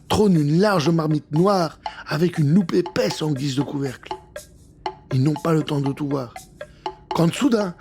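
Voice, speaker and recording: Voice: mid-range voice, darkish tone. A storyteller reading a scripted children's adventure in a theatrical, suspenseful way.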